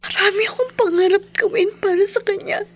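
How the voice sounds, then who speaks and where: An actress with a Filipino accent playing an emotional, haunted young wife, grieving and fearful, in a horror radio drama. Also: female voice, extremely high pitch, a little fast. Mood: sorrowful. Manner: emotional, pleading delivery.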